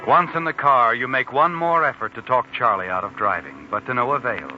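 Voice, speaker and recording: An actor playing an ominous, insinuating narrator of a 1940s radio suspense drama, speaking straight to the protagonist. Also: male voice, mid-range voice, lively intonation, fairly quick, highs cut off, lightly acted. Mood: knowing, ominous. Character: knowing, ominous male narrator addressing the protagonist. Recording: thin old radio recording with music.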